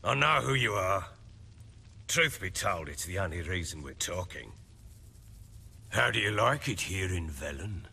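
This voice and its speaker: Low, forceful, burly warlord. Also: male voice, expressive, measured pace, creaky, long pauses, slight background noise, heavily acted. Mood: grim, measured. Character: burly, blunt, weary middle-aged warlord baron.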